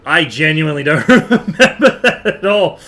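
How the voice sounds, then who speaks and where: A man's voice: on the high side, big pitch swings, moderate pace, pushed and bright. A man giving casual live gameplay commentary as a streamer, with flippant, joking asides. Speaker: game streamer.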